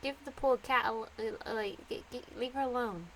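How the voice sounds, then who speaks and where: A woman's voice: a little high, a little fast, a bit bright, slight background noise. A woman streaming a game, chatting casually and giggling as she gushes over the characters. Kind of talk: casual live-stream commentary.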